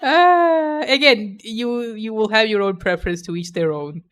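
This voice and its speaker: A woman's voice: fairly high pitch, a little slow, fairly bright tone, clear, resonant tone. A young adult woman chatting casually on a stream, playful and opinionated, laughing often.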